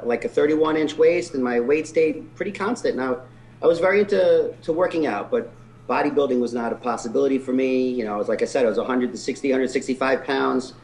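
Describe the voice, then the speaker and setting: A man's voice: mid-range voice, darkish tone, poor audio. A man in his forties telling the story of his life in an interview, earnest and candid with some self-deprecating humor. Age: 40s. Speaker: physique competitor guest.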